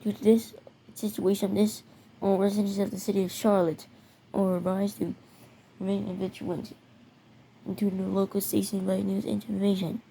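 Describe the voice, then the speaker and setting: A speaker narrating a homemade scenario video in a halting way, often repeating words. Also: mid-range voice, flat delivery, creaky, warm tone, rough recording. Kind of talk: amateur narration of a mock emergency alert scenario video. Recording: noticeable background noise.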